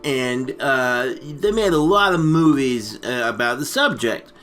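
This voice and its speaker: A man co-hosting a casual comic movie recap, rambling and halting, full of slang. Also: mid-range voice, lively intonation, fairly bright tone, faint music behind. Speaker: comedy storytelling co-host.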